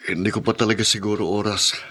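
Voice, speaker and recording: An earnest wounded man in a Filipino radio drama. His voice sits fairly low and has a bright, forceful edge. He speaks with open emotion, as a survivor set on marrying. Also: fairly even intonation, fast talker. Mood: matter-of-fact, defensive. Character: wounded man, pleading and grateful, soon to marry.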